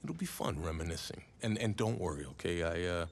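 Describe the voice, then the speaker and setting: An actor playing a genial, teasing TV interviewer and old friend, chummy and informal, in a video game. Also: male voice, low voice, very animated, brisk, creaky, full character voice. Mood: friendly, reassuring. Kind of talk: cinematic video game dialogue.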